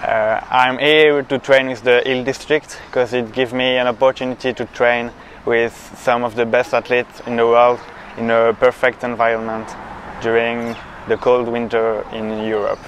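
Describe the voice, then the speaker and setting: A man's voice: medium pitch, level tone, moderate pace, slight background noise, rough recording. A young man from Switzerland introducing himself plainly beside an outdoor pool on a lapel mic. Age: young adult. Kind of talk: brief self-introduction.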